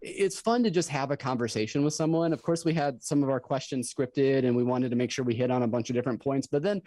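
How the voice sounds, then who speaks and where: A man's voice: mid-range voice, fast talker, dark tone, no room sound. A man co-hosting a fan podcast, speaking in a casual and effusive way with lots of praise. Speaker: podcast co-host.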